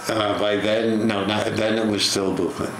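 An older man tells a personal story in a low voice, often halting and repeating himself. The studio recording is roomy, with clear noise behind him. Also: flat delivery. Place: indoor TV studio.

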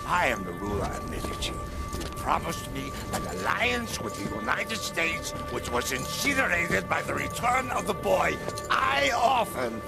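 Menacing video game dictator, grandiose, gravelly, wildly swinging pitch, music behind. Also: male voice, very animated, heavily acted. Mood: simmering disappointment. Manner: pompous, deliberate, theatrical, formal phrasing. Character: brutal middle-aged male island dictator general, grandiose, menacing. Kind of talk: dramatic video game cutscene acting.

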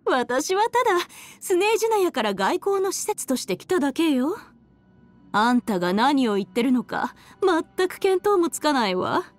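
A woman playing a haughty, cruel, elegant villainess in a Japanese anime game, with a condescending, mocking, drawn-out aristocratic delivery. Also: high voice, big pitch swings. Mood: mocking, aloof. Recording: studio voice over game music.

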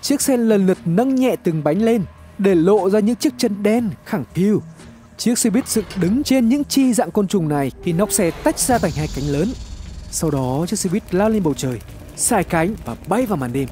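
A male narrator tells a dramatic horror tale with plenty of suspense. His voice sits high for a man and swings widely in pitch, yet the tone stays mellow. Faint music plays behind him. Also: lively intonation, moderate pace. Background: background music.

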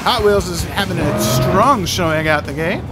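Male event announcer, high-pitched and unhurried, cheering play-by-play for a truck game, loud music behind. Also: animated. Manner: exclamatory, cheering announcer delivery. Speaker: video host and event announcer.

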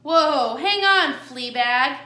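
Woman as sassy, high-voiced armadillo sister. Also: big pitch swings, a little slow, pushed and bright, roomy sound, heavily acted. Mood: bluffing, bold. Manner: sassy, bold. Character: sassy middle-sister armadillo, fussy, vain, fast-talking.

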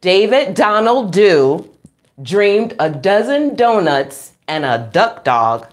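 A middle-aged woman reads aloud as a children's storytime host. Her pace is extremely slow and deliberate, with a pitch that swings widely. She stresses words in a sing-song way, exclaims often and puts questions to her young listeners. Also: big pitch swings. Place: small room at home, indoors.

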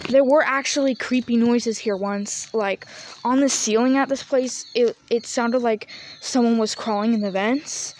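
A young woman vlogging while walking around a farm yard, chatty and often dropping to a whisper. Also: fairly high pitch. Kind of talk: casual walk-around vlog. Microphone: handheld phone camera. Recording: noisy outdoor phone audio.

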